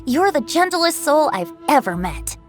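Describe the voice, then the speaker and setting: A woman's voice: high voice, big pitch swings, a little slow. A woman voicing a youthful male wind god bard in a video game, with a playful, breezy, theatrical manner. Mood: fond, gentle. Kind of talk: video game character dialogue. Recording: studio voice over game music.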